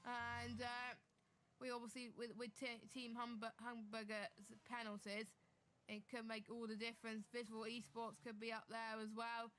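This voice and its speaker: A woman with a cold, losing her voice, giving excited live commentary on a sim race. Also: on the high side, moderate pace. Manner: excitable, building to shouts at close battles.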